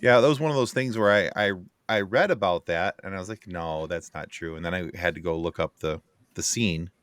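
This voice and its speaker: A man with a lowish, sharp and bright voice discusses films casually. The sound is very dry, as a podcast panelist in conversation. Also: a little fast, smooth, no echo.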